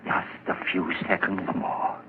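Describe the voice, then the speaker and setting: An actor playing a tormented, obsessive murderer in a halting, hushed, urgent monologue, in 1940s radio horror style. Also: male voice, close to an everyday voice. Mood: morbid, intent. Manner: slow halting murmured monologue rising to strained urgency. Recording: muffled, noisy 1940s radio recording.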